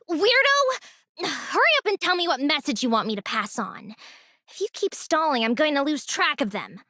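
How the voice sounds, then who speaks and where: A woman's voice: sits high, sing-song, moderate pace, sharp tone, no room sound, clean studio sound, exaggerated voice. A woman playing a hot-tempered, boastful self-styled angel girl in an anime game, petulant and theatrical. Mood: indignant. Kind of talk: scripted anime game dialogue.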